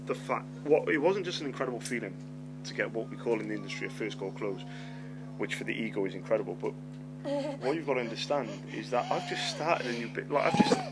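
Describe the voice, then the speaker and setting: A man's voice: mid-range voice, fast talker, heavy background noise. Man with a lisp, stammering. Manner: swears freely, repeats words, animated retelling. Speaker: podcast host. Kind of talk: storytelling monologue. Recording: loud music behind voice.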